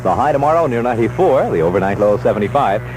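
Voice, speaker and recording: A man's voice: medium pitch, animated, fast talker, over music, low-quality recording. A man working as a radio disc jockey, with wisecracking announcer patter, on a vintage 1961 AM radio aircheck. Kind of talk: 1960s top-40 radio patter. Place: radio studio.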